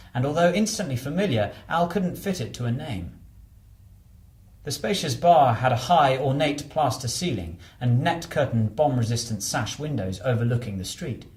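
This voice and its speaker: A man's voice: medium pitch, animated, normal speed, a little mellow, echoey room. A man reading a novel aloud as a scripted narration. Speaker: audiobook narrator.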